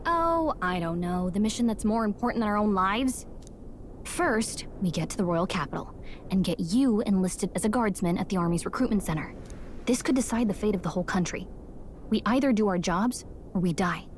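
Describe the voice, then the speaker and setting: A woman voicing a small, spirited fairy guide in a video game, protective and urgent, with brisk, bantering, earnest delivery. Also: mid-range voice, animated, a little hiss or hum, lightly acted. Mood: wry, earnest.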